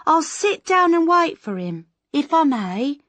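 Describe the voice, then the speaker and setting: A woman voicing a hopeful, struggling actress who talks with eager, breathless brightness. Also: sits high, sing-song, unhurried, no echo, pitched above the performer's own voice, full character voice.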